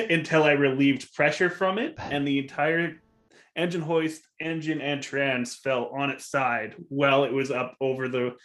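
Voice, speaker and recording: A man's voice: medium pitch, steady tone, a little slow, fairly bright tone. Casual, chuckly man with mid-range voice. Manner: chuckles through his talk. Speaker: co-host of a car enthusiast live show. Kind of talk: casual chat and storytelling.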